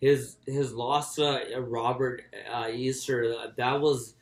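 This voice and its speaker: A man co-hosting a casual sports podcast, speaking haltingly and often repeating his words as he goes. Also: medium pitch, steady tone. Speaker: boxing podcast co-host. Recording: echoey, roomy sound.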